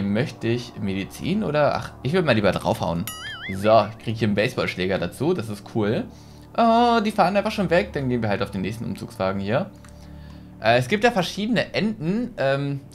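A man's voice: medium pitch, big pitch swings, fairly quick. A young man giving chatty, casual let's play commentary on a headset mic, thinking aloud as he goes. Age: young adult. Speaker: gaming YouTuber, host. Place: small decorated gaming room, indoors. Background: game music.

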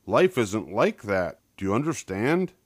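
A stern father bear from a children's storybook , acted out by a man. He lectures and blusters in a low voice with heavy, drawn-out emphasis, recorded dry. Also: sing-song, slightly rough, no echo, exaggerated voice. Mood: lecturing.

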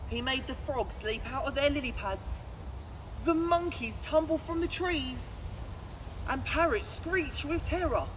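A man in his twenties reading a children's picture book aloud outdoors in an expressive, projected voice with dramatic pauses. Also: extremely high pitch. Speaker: children's story presenter. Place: outdoors in woods, standing. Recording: outdoor recording with clear background noise.

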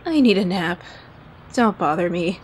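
A woman's voice: fairly low pitch, lively intonation, unhurried, husky. A woman playing a reserved, easily flustered young inspector in an anime-style fan audio drama, polite but terse and dry. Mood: curt, irritated.

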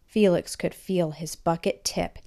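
A woman reading a children's storybook aloud in expressive narration. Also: on the low side, measured pace. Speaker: storybook reader.

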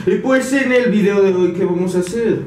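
A young man with a Mexican Spanish accent, chatty and full of slang as he addresses his viewers. His voice sits a little high, picked up by a camera held some distance away. The small rooms add a noticeable echo. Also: moderate pace, slight background noise. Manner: slangy, energetic, talks to viewers as "banda". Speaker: vlogger. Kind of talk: casual house tour vlog. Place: small apartment, indoors. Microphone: handheld camera mic. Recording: echoey room, a bit off-mic.

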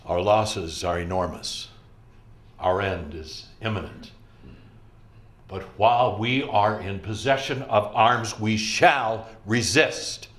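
A man's voice: lowish voice, takes it slowly, fairly bright tone. An older man in a dramatic reading, playing a grave, resolute resistance commander. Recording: roomy echo, faint music behind.

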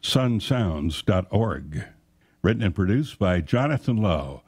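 A man's voice: sits low, lively intonation, takes it slowly, a little mellow, breathy edge. A man reading a scripted announcement in the style of a radio announcer.

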